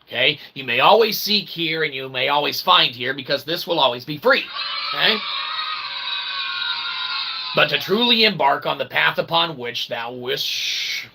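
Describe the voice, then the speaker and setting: Creaky, higher-voiced man rambling philosophically. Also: background noise. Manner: mock-formal, wordy, archaic turns of phrase; constantly tags phrases with "okay?". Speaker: host of own channel, independent author and developer. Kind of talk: rambling philosophical monologue to viewers.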